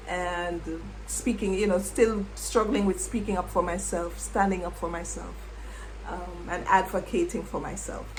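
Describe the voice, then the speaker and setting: A middle-aged woman, a former English teacher, reflecting on her past in an unhurried way and repeating words as she thinks. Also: mid-range voice, a little hiss or hum, rough recording. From Jamaica. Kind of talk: reflective personal storytelling.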